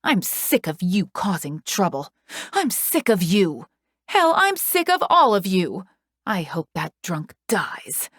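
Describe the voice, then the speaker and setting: A woman's voice: medium pitch, expressive, measured pace, darkish tone, no room sound, clean studio sound, pitched above the performer's own voice. A woman voicing a cruel, menacing woman caretaker who threatens a patient.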